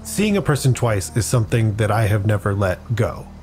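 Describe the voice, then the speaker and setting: A man's voice: fairly low pitch, lively intonation, moderate pace, a little mellow, a little hiss or hum. A man playing a tabletop role-playing game, thinking aloud through his moves. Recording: light music under the voice. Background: background music.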